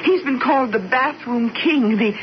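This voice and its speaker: An actress playing a wealthy, plump, fretful wife in a 1970s radio drama, with a fluttery, halting, repetitive delivery. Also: female voice, fairly high pitch, close to an everyday voice. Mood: distraught, pleading. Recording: dull, noisy vintage radio broadcast.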